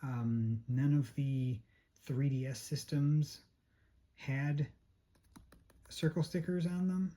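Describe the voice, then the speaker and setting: Hoarse voice, mellow tone, mid pitch, casual unboxing host, hesitant meandering delivery. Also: steady tone, slow, pauses often. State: hoarse, losing voice. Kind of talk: casual product unboxing narration.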